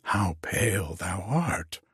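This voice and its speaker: A man's voice: low-pitched, animated, takes it slowly, fairly bright tone, breathy edge, no room sound, studio quality, heavily acted. A man voicing a proud, teasing young maiden in a storybook romance, with theatrical, questioning, archaic speech.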